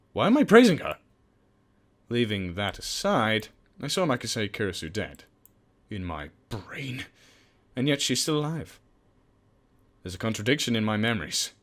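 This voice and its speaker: A man's voice: on the low side, a bit bright. A man giving casual gameplay commentary and reading game text aloud, with sweary, jokey asides. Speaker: let's player. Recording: game music behind the voice. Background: game music.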